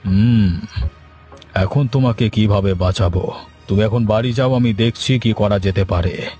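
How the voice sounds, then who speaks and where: A man playing a stern, sensible village gentleman in a horror cartoon, speaking in a measured, admonishing way. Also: lowish voice, close to an everyday voice. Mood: pondering. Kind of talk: Bengali horror cartoon dubbing. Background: music.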